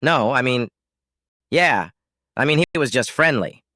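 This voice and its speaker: A man's voice: medium pitch, lively intonation, unhurried, sharp tone, no echo, studio quality. A man voicing a distraught, pleading wife who stammers and gasps through her words. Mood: flustered, defensive.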